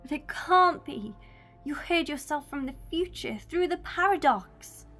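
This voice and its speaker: A woman's voice: high voice, lively intonation, measured pace, sharp tone, soft background music, heavily acted. A woman playing a feisty, homesick young female companion in an audio drama, pleading and defiant.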